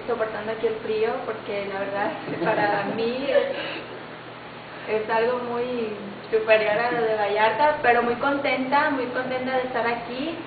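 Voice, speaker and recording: A young woman with a Mexican accent speaking as a pageant contestant. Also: fairly high pitch. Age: young adult. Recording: tinny, echoey, noisy distant recording.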